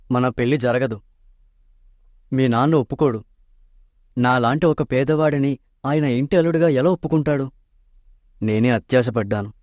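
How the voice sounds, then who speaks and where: A man's voice: medium pitch, a little mellow, no echo, studio quality, lightly acted. An actor playing an honest, poor young man in love in a storybook tale, earnest and troubled.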